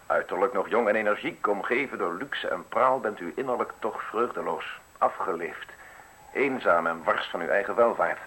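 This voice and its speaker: An actor playing a suave, menacing justice official in a radio drama, speaking in measured, formal, insinuating phrases. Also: male voice, on the low side, bright, forceful tone, slight background noise, rough recording. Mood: probing, accusing. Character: suave, menacing male state justice official offering a deal.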